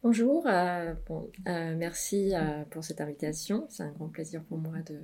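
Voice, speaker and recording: Brief: middle-aged woman from Iran, slightly low voice, thoughtful, halting answers full of fillers. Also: dark tone. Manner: many filler pauses, thoughtful. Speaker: research mathematician, interviewee. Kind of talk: hesitant interview answers.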